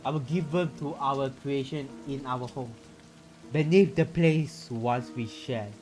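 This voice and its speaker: A man's voice: mid-range voice, unhurried, darkish tone, close to an everyday voice. A man reading as an eerie, possessive dead lover. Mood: possessive, solemn. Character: dead woman lover, possessive, eerie love-letter writer in horror game. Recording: music behind the voice.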